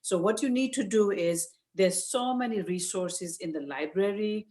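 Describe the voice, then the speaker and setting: An older woman from Nepal with a Nepali accent, giving informal, earnest advice as a guest speaker, with frequent hesitant pauses. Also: medium pitch, dark tone. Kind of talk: informal advice talk to parents. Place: virtual session over video call.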